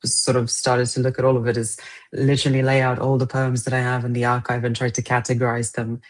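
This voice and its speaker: A young woman poet raised speaking Romanian at home, answering interview questions thoughtfully with pauses and soft laughs. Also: very deep voice, monotone. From Romanian family, raised partly in England. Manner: thoughtful, hesitant, laughs often. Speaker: poet guest.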